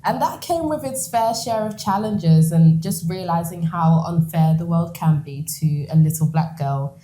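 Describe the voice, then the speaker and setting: Woman, 20s, from the Netherlands, low-pitched, mellow tone, animated, laughing, interview guest. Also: moderate pace, poor audio. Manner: animated, laughs often, acts out quoted lines. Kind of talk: conversational interview storytelling.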